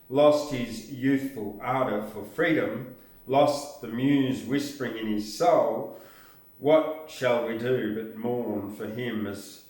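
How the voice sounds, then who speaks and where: An older man reading an introduction aloud in a deliberate, measured manner. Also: medium pitch, darkish tone. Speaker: presenter. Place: small room indoors. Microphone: camera a short distance away. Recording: very echoey room.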